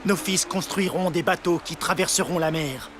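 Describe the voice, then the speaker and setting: High-pitched, hopeful biblical husband, played by a man. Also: normal speed, music in the background, close to an everyday voice. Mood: hopeful, proud. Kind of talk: dubbed animated Bible story acting.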